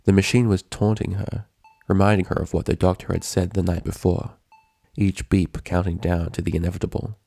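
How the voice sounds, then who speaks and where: A man's voice: deep voice, flat delivery, fairly quick, creaky, warm tone, no room sound. A man reading a story aloud as its narrator, in scripted prose narration. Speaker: narrator, fan fiction reader.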